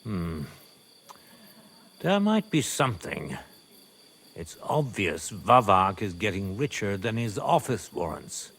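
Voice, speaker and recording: An actor playing a middle-aged medieval nobleman and father, speaking in a measured, wry and wary manner for a video game. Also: lowish voice, sing-song, vocal fry, fairly bright tone, slightly rough, exaggerated voice. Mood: grim, confiding. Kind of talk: video game cutscene acting.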